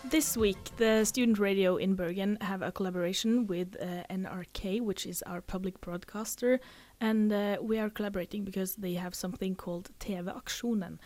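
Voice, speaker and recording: A woman's voice: medium pitch, steady tone, moderate pace, darkish tone, no echo, soft background music. A young woman hosting a student radio show, asking questions in a chatty way full of fillers. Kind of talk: casual radio interview. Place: radio studio.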